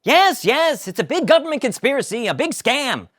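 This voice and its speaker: A woman's voice: high voice, big pitch swings, moderate pace, a bit bright, pitched above the performer's own voice, heavily acted. A woman voicing a sarcastic, incredulous man who mocks everything. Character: exasperated sarcastic man, comic lead.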